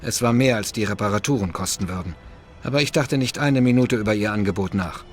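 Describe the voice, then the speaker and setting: A man's voice: on the low side, fast talker, lightly acted. An actor playing a modest, wary male taxi driver in a video game, polite and plain-spoken. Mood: reflective. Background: music.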